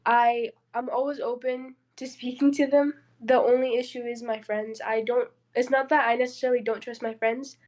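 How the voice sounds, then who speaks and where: A young woman whose first language is Spanish talks in a fairly high voice. She shares her own experiences openly and personally, with plenty of "like" fillers, in a relaxed panel conversation. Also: normal speed, few pauses. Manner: open, personal, many fillers. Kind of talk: casual panel discussion.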